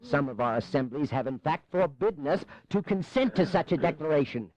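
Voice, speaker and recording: In an audio drama, a man acts as a cautious colonial statesman. His voice sits a little high, and he pleads earnestly in formal, careful oratory. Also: animated, fairly quick, a little mellow, muffled recording, natural voice. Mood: earnest, pleading. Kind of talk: dramatized historical audio acting.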